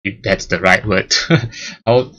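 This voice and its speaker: A man's voice: deep voice, slow pace, fairly bright tone, highs cut off. A man casually chatting about his channel's history as its host, rambling with lots of fillers and restarts. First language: English and Chinese. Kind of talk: casual milestone chat.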